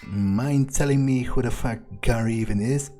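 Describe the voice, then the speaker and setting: A man giving in-character commentary over a video game playthrough, musing in a dry, unhurried way. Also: medium pitch, over music. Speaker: gamer playing in character.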